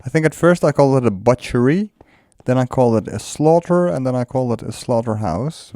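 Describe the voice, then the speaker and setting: Mellow Dutch-native man musing. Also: mid-range voice, no echo, clean studio sound. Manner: relaxed, musing, laughs often. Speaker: let's play creator. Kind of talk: casual building commentary.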